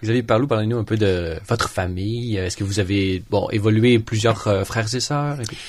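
Low-pitched radio host. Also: male voice, moderate pace, no echo. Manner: friendly, hesitant with many euh. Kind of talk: radio interview.

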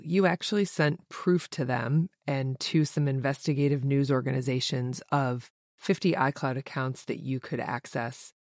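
A woman's voice: a bass voice, darkish tone, dry recording, clean studio sound. A woman hosting a podcast, reading prepared introductions and putting questions to a guest. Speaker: podcast host, interviewer.

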